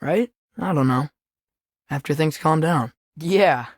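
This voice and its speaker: A man's voice: on the high side, slow pace, no echo, studio quality. A young male actor playing an earnest, curious, impulsive teenage boy in a Norse myth video game, speaking naturally with a hesitant, boyish manner.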